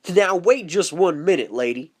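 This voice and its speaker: A woman's voice: fairly low pitch, animated, moderate pace, husky. A woman voicing a brash, rebellious male psychiatric patient, folksy and defiant, in an amateur film. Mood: indignant. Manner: casual, cocky, needling. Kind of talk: scripted amateur film dialogue.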